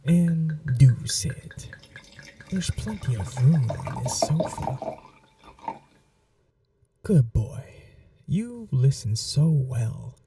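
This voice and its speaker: A man playing a possessive, seductive vampire in an intimate ASMR roleplay, speaking in a soft, breathy half-whisper with a languid, teasing, condescending manner. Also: medium pitch, very animated, very slow, long pauses, far from the mic, background noise, full character voice. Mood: teasing, doting.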